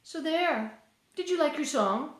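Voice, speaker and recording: A woman's voice: sits high, lively intonation, unhurried, reverberant. An English woman hosting a casual livestream, chatting with viewers, reading out their names and thanking them between songs. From England. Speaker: livestreaming singer-songwriter. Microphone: phone livestream.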